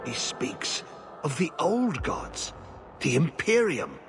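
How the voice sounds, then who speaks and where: Casting: weary old warden for fantasy game; urgent, cryptic, halting, slow, with swooping gravelly delivery. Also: male voice, on the high side, wildly swooping pitch, fairly bright tone, faint music behind, exaggerated voice. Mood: fearful urgency. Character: weary, haunted old former warden commander. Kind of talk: fantasy video game acting.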